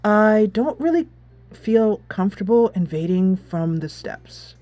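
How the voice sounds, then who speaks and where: A woman voicing a shy, gentle teenage schoolboy from Mongolia in a soft, hesitant, subdued way for a game. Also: mid-range voice, lively intonation, unhurried, no echo, faint music behind, natural voice. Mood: shy, reluctant.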